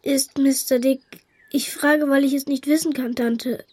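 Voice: high voice, steady tone, unhurried, darkish tone. A young performer playing a shy, polite schoolboy in a radio play, hesitant and courteous.

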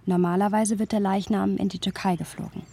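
A woman's voice: mid-range voice, steady tone, brisk, warm tone, breathy edge. An actress playing a thoughtful, playful young woman who narrates a literary radio play. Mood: sober, reflective. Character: thoughtful, playful young woman recounting her close male friend. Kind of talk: literary scripted narration with lively dialogue.

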